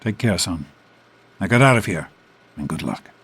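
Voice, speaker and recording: Gentle stern father, middle-aged, husky, lowish pitch, expressive, video game drama. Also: normal speed, full character voice. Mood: fond, firm.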